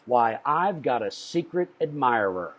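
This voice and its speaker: A man's voice: mid-range voice, big pitch swings, measured pace. A man voicing a lonely, courteous storybook man who speaks earnestly and with shy delight.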